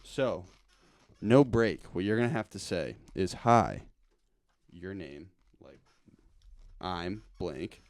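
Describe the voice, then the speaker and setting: A man with a fairly low voice hosts a loose, casual interview. He talks in slangy, buddy-like phrases, and the sound is close and dry. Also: animated, takes it slowly, a little mellow, no echo. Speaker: interview host. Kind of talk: casual chat.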